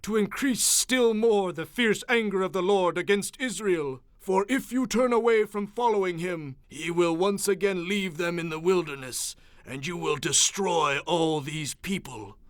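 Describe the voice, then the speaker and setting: A man playing a stern leader rebuking his people with solemn, measured oratory in a dramatized audio Bible. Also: sits high, no room sound, clean studio sound. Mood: angry, warning.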